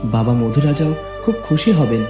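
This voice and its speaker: A man's voice: mid-range voice, lively intonation, moderate pace, natural voice. A man voicing a devoted young cartoon prince in a tender, romantic, reassuring manner. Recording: roomy echo with music behind.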